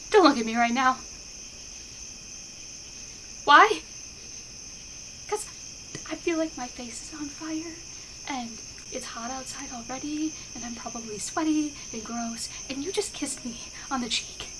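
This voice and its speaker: A woman playing a shy, flustered, affectionate best friend in an intimate ASMR roleplay, speaking haltingly and bashfully. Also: high voice, pushed and bright, exaggerated voice. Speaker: voice actor in an audio roleplay. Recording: clear insect and outdoor ambience noise. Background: crickets and night ambience.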